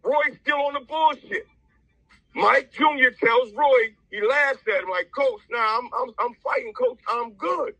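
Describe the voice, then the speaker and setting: Very high, sharp, raspy man. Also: measured pace, no echo, low-quality recording. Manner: agitated, blunt, heavy swearing. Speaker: interviewee, father of a boxer. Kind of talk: casual, profane first-person storytelling.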